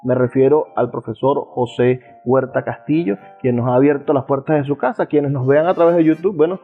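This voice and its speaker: A Venezuelan man hosting a radio interview, speaking in measured, rhetorical phrases. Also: mid-range voice, steady tone, slightly rough. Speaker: radio show host and interviewer. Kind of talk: radio interview with an eloquent introduction. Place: balcony of a house. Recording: phone-like thin sound, faint music behind.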